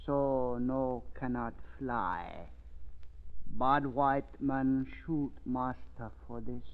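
An actor playing a loyal, mystic old native man in old-time radio drama, speaking broken English in short halting phrases. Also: medium pitch. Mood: grave warning. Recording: tinny, band-limited vintage radio recording.

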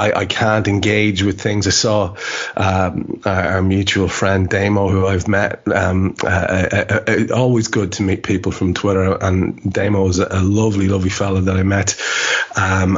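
Middle aged guy doing a podcast, lowish husky voice, chatty and wordy. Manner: wordy, colourful turns of phrase. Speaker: podcast host. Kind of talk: casual chat.

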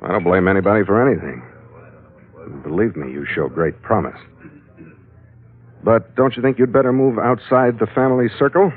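An actor playing a tough, wry sea captain, talking tersely in the hard-boiled manner of 1940s radio drama. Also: male voice, on the low side, normal speed, pauses often, breathy edge. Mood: guarded. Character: tough, wry American sea captain narrating his adventures. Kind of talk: 1940s radio drama acting and first-person narration. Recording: muffled vintage radio recording, music behind.

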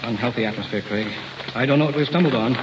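An actor playing a determined, curious professor leading an expedition in an old-time radio drama, grave and earnest in delivery. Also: male voice, mid-range voice, fast, background noise, close to an everyday voice. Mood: tense, intrigued. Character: determined, curious male scientist professor, middle-aged expedition leader. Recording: muffled vintage radio recording.

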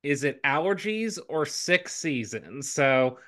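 An upbeat man co-hosts a podcast in a loose, chatty way. His voice is bright and forward, with a slight nasal edge from allergies. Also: on the high side, very animated, slow pace. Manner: upbeat, chatty, lots of fillers. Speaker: podcast co-host. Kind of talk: casual podcast chat.

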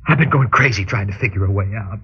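An actor playing a nervous, guilt-ridden husband in an old radio drama, with a husky voice and a halting, stammering delivery. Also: male voice, lowish voice, fairly bright tone, heavily acted. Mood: desperate, confessing. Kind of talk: mid-century radio drama acting. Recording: dull, lo-fi old radio recording.

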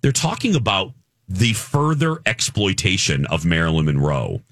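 A man co-hosting a casual morning radio show, talking in an animated way that swoops up high when he gets emphatic. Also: moderate pace, no echo, clean studio sound.

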